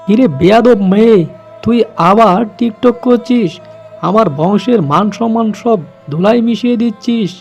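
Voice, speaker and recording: A man's voice: very high voice, moderate pace, vocal fry, soft background music, heavily acted. A man voicing a stern, fretful father fox in a cartoon, scolding and lamenting. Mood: angry, scolding. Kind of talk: cartoon voice acting.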